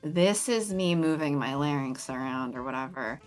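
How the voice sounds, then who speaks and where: A young woman chatting casually on a game stream, talking in a melodic, animated way with lots of pitch variation. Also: normal speed, soft background music. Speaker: streamer co-host.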